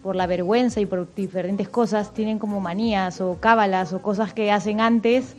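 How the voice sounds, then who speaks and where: A woman's voice: medium pitch, fairly even intonation, normal speed, darkish tone. A woman from Peru, a fan in a live radio audience, speaking hesitantly with many fillers. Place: live event at a café venue.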